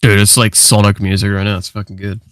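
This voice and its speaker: A New man in his twenties chats on a livestream in a slightly low, forceful voice. His talk is loose and slangy, full of swearing, with a very dry sound and little room to it. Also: flat delivery, quick pace, dry recording. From New Zealand. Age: 20s. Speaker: streamer. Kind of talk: casual livestream chat.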